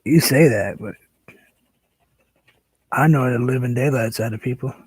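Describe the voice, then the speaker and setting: A man hosting a painting livestream, chatting casually and relaxed with viewers, sometimes dropping to a soft whisper as he works. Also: medium pitch, measured pace, slightly rough, no echo. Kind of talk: casual chat while painting.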